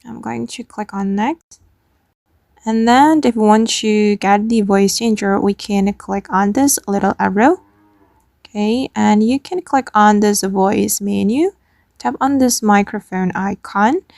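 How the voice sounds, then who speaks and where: A woman with a gravelly voice of middle pitch calmly talks viewers through an app, one step at a time. The recording is close and dry, with no room sound. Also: dry recording. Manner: step-by-step, plain instructional. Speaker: tutorial host. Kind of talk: screen-recorded app tutorial.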